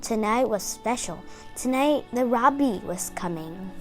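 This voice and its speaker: High-pitched, animated woman reading aloud. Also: unhurried, faint music behind. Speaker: storyteller. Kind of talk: children's read-aloud storytelling.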